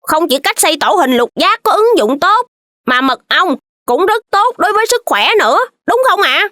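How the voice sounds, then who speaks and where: A performer voicing a cheerful, excitable chubby kid who loves food, in a children's cartoon dub. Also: very high-pitched, normal speed, dry recording, clean studio sound, heavily acted. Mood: cheerful, eager.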